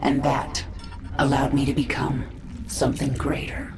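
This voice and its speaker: A woman playing a commanding, brooding alien-human swarm queen in a game, with measured intensity and an echoing effect on the voice. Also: a bass voice, completely flat delivery, noisy background. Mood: defiant, proud. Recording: echoing, with soundtrack music behind.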